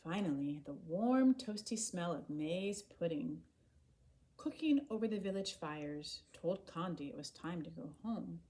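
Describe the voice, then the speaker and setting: A woman reading a children's story aloud in an expressive, animated way, acting out the dialogue. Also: lowish voice, measured pace, poor audio. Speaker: storybook reader.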